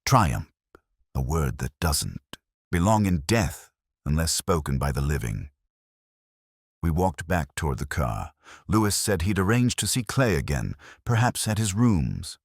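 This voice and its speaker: A man playing a brooding older detective inspector, narrating an audio drama in a measured, reflective, literary way, solemn and wry. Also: rumbling low, big pitch swings, breathy edge, no echo, clean studio sound, full character voice. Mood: melancholy, wry.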